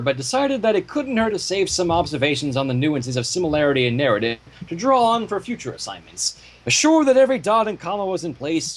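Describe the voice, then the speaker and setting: A man narrates a fan story in a husky, fairly high voice. His delivery is lively and swings widely in pitch as he reads the prose aloud. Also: rough recording. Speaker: narrator and fan fiction reader.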